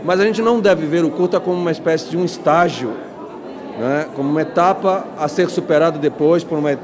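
A man's voice: a little high, a little fast. A man giving a documentary interview, explaining his view thoughtfully. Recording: crowd noise behind.

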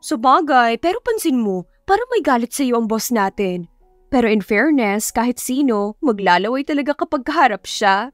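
A woman's voice: a little high, sing-song, normal speed, dry recording, studio quality, faint music behind. A woman voicing a whiny, gossipy young female coworker in an audio story, exaggerated, with a Filipino accent. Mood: teasing. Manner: whining, exaggerated.

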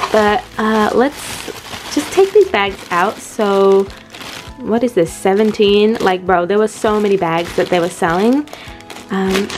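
A woman with a blocked, stuffy nose from a cold chats away while unboxing. She is casual and giggly, with a middling pitch. Faint music plays underneath. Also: a little mellow. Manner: chatty, giggly, upbeat. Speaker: toy collector vlogger.

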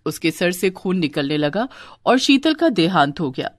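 A woman's voice: fairly low pitch, big pitch swings, normal speed, no room sound, studio quality. A woman narrating a scripted moral story.

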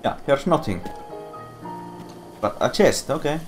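A man streaming a game, thinking aloud in slow, musing casual commentary while he is losing his voice. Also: medium pitch, big pitch swings, music in the background. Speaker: gameplay streamer. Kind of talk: casual let's-play commentary.

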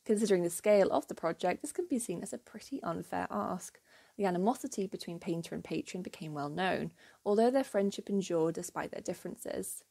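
Mellow-voiced woman narrating history. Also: on the low side, a little fast, creaky, husky. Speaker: history channel host. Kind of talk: scripted educational narration.

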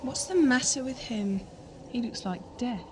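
An actress playing a sceptical, practical young time-travel companion in a sci-fi audio drama. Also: female voice, a little high, unhurried, natural voice. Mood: concerned. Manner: dry, practical. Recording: noisy 1980s amateur audio-drama tape.

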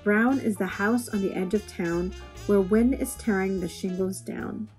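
Female reader for children's poetry: medium pitch, expressive and measured, plucked guitar music underneath. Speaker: read-aloud host.

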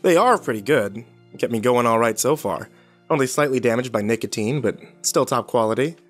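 A man's voice: mid-range voice, animated, fairly quick, close to an everyday voice. An actor playing a weary, haunted, cynical man who broods and swears through a first-person horror audio drama. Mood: wry, guarded. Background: music behind the voice.

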